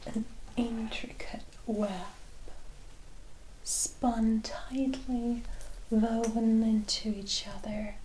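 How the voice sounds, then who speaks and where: A mysterious witch, acted by a woman whispers at a very slow, deliberate pace in a lightly high, breathy voice. She is sly, coy and theatrical, like a bargainer in an ASMR fantasy roleplay. Faint noise and soft clicks sit behind her. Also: poor audio. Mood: musing, mystical.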